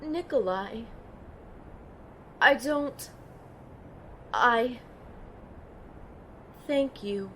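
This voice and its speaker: A woman's voice: fairly high pitch, expressive, very slow, pauses often, sharp tone, a little hiss or hum, heavily acted. A woman playing an earnest, tender-hearted young heroine who tells her own story in an audio drama. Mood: hesitant, concerned. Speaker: narrator and lead character. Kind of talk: first-person audio drama narration and dialogue.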